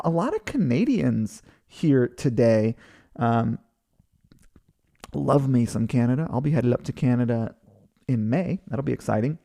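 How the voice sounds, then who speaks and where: A man from Hawaii in his thirties hosts a live online session, reading out viewer comments in a loose, rambling way. His voice is gravelly with a dark, mellow tone, and he talks over a computer microphone. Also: fairly high pitch. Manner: rambling, chatty, many fillers and restarts. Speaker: webinar host.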